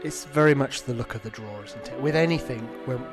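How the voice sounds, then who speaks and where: A man's voice: medium pitch, a little fast. A man, talking casually and explaining things to listeners as the host of an online show. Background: backing music.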